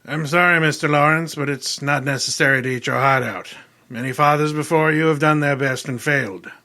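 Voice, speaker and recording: A gravelly, fairly high-pitched detective, acted by a man in radio mystery style. He is pompous and irritable, and speaks formally with a sharp edge. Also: a little fast. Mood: composed. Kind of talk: old-time radio mystery acting.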